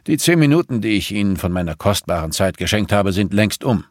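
A man's voice: on the low side, expressive, fairly quick, no room sound, clean studio sound, lightly acted. A man voicing a jovial, patronizing, muscular rich father with a commanding, expansive manner in an audiobook. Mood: brisk, businesslike.

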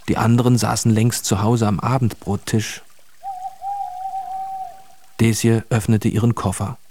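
A man narrating a children's radio story in a measured storytelling manner. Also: fairly low pitch, a little mellow, slight background noise.

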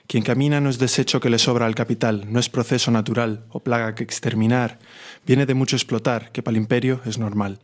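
Man reciting verse in a flat voice. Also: mid-range voice, fairly quick. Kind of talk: poetry recitation.

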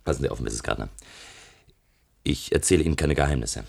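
An actor playing a brusque, impatient army colonel in a German radio play, speaking emphatically and curtly. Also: male voice, very deep voice, brisk, gravelly, darkish tone, heavily acted. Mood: impatient, urgent. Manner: emphatic, curt, swearing, commanding.

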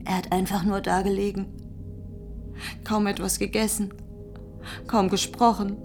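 A woman's voice: mid-range voice, flat delivery, unhurried, a little mellow, soft background music, close to an everyday voice. Actress as earnest, defiant country woman. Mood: subdued, pleading. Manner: earnest, insistent. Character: anxious, defiant farm woman bargaining with an official. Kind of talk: German radio drama acting.

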